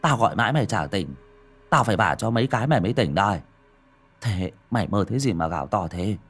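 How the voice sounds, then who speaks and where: Guy doing a mouthy rough young village guy, husky voice. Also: fairly low pitch, animated, faint music behind. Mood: mocking. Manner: rough, mocking, quick. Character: brash, foul-mouthed young village man.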